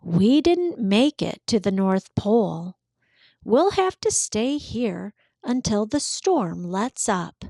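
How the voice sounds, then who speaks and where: A woman voicing a kindly, steady storybook truck with a gentle, matter-of-fact manner. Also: medium pitch, takes it slowly, no room sound, heavily acted. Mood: apologetic. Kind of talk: storybook character voice for children.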